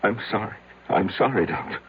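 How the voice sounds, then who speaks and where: An actor as a deep-voiced, brooding, broken man. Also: animated, natural voice. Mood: tearful, apologetic. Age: middle-aged. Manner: halting, brooding, dramatic delivery. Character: broken, obsessive 50-year-old man confessing to his doctor. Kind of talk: 1970s radio drama acting. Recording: dull, very noisy old radio broadcast.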